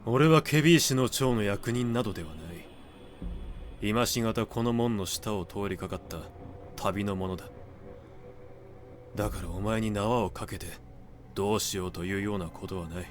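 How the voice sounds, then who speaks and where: A man voicing a menacing lowly servant with a sword in a literary reading, terse and commanding, in archaic speech. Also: normal speed, pauses often, background noise, natural voice. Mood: firm, softened. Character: menacing young male servant turned robber with a sword.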